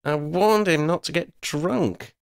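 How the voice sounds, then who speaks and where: A man voicing a caring, brisk girl who leads a band of companions in a storybook. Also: fairly high pitch, vocal fry, voice pushed up. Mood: cross.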